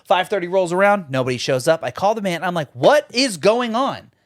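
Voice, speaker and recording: A young man co-hosting a podcast in casual chat, ranting with lots of swearing and big jumps in pitch. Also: lively intonation, brisk, no echo, clean studio sound. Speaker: podcast co-host.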